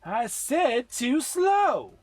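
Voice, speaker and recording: A man voicing a brash, hot-tempered young woman fighter from a game story, with shouted, taunting, overdramatic delivery. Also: very high-pitched, very animated, very slow, dry recording, faint music behind, voice pushed up, exaggerated voice. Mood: furious.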